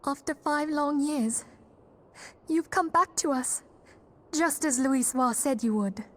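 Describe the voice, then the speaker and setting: A woman's voice: high voice, slow pace. An actress playing a kindly, earnest young female leader in a fantasy game, speaking with poised, formal sincerity. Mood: welcoming. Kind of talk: fantasy video game voice acting.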